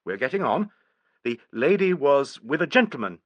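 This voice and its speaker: A man voicing a brisk police inspector who speaks crisply and coaxingly. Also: mid-range voice, big pitch swings, dry recording, muffled recording, voice pushed up.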